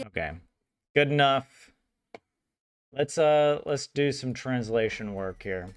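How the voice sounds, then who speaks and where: A man's voice: medium pitch, takes it slowly, clean tone. A man streaming a language study session, chatting casually, rambling with frequent laughs and restarts. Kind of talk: casual live-stream chat and translation.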